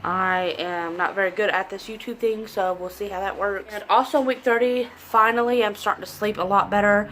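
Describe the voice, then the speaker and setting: A woman's voice: mid-range voice, fairly even intonation, normal speed, hardly any pauses, fairly bright tone, a little hiss or hum. A young woman from southern Indiana, congested, chatting casually in a rambling, self-deprecating way to a camera in her living room. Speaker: pregnancy vlogger. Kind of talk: casual vlog chat. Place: living room at home, indoors. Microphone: camera in front at desk distance.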